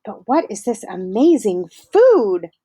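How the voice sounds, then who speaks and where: A woman's voice: medium pitch, very animated, slow, mellow, husky, dry recording, full character voice. A woman voicing a wide-eyed, polite refugee boy who speaks formally and carefully. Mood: wondering.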